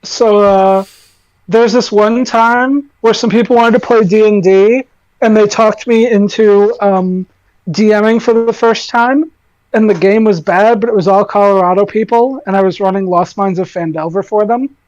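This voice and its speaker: Loud-voiced woman on a dull call line. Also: mid-range voice, fairly even intonation, moderate pace, dry recording. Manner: hesitant, trailing off with fillers. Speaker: podcast guest. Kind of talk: casual chat.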